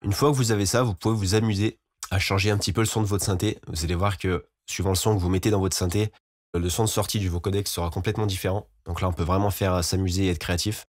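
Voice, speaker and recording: Fast, low-voiced man teaching. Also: steady tone, no room sound, studio quality. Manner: informal, explanatory. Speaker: music production tutorial host. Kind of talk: software tutorial voice-over.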